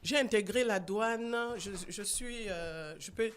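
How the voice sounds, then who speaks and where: A woman in her sixties with a Gabonese French accent, a guest author speaking earnestly and emphatically in a radio interview, pausing often with fillers. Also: medium pitch, a little hiss or hum. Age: 60s. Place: radio studio.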